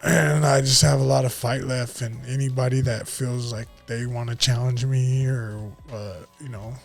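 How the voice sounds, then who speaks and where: A man's voice: mid-range voice, steady tone, moderate pace, creaky, faint music behind. An American man in his late thirties chatting casually as a fighter on a podcast, slangy and swearing freely. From Utah, United States. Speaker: MMA fighter, podcast guest. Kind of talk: casual podcast interview chat.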